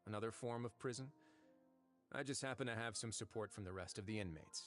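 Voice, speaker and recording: An actor playing a composed, wry prison warden in a video game, speaking in a measured, formal, dryly teasing way. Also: male voice, lowish voice, faint music behind, close to an everyday voice. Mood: wry, guarded. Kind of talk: scripted video game dialogue.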